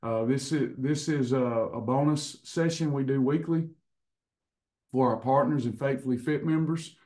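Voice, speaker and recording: A hoarse man in his fifties hosting a casual online recipe and wellness class over a webcam, chatty, with frequent restarts. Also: medium pitch, normal speed, warm tone. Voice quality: slightly hoarse, clears throat. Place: indoors in front of a printed backdrop. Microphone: webcam on a video call.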